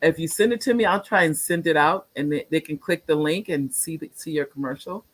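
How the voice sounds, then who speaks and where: A woman's voice: deep voice, brisk. Effusive woman talk show host. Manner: effusive and complimentary, rambling restarts. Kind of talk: casual interview chat.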